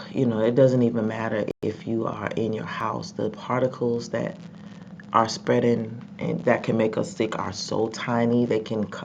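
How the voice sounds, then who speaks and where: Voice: medium pitch, gravelly, darkish tone. A sick, congested vlogger talking casually to viewers, rambling with many ums and repeated words. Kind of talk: casual commentary vlog. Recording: dull lo-fi with faint background noise.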